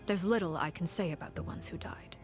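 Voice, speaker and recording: A cold, composed woman, played by an actress, tells a dark tale in a soft, breathy half-whisper. Her voice sits low and stays measured and grave, as in a game cutscene. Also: music in the background, lightly acted. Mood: grave, calm. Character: cold, composed woman recounting a grim past. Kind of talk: game story cutscene dialogue.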